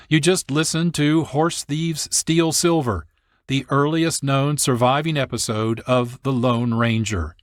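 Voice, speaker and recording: A man hosting a radio program, reading scripted narration in a measured announcer's delivery. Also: medium pitch, no echo. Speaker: radio host and producer. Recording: dry studio, music behind.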